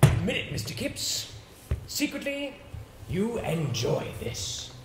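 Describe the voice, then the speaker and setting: An actor on stage playing a confident, sympathetic theatre actor who encourages a pupil. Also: male voice, high voice, huge pitch swings, slow, music in the background, heavily acted. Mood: encouraging, earnest. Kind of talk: live stage play acting. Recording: echoey hall, clearly noisy stage recording.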